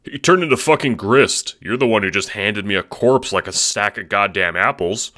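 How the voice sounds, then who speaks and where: A man's voice: lowish voice, animated, normal speed, sharp tone, voice pushed up. A man voicing a defensive, sarcastic young guy who swears casually in an audio drama.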